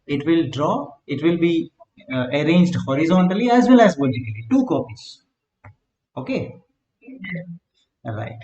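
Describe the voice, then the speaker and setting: A man giving a classroom lecture on circuit design, speaking in slow, halting word groups and often checking in with "right?". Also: fairly high pitch, lively intonation, warm tone, highs cut off. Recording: echoey room, faint background hum.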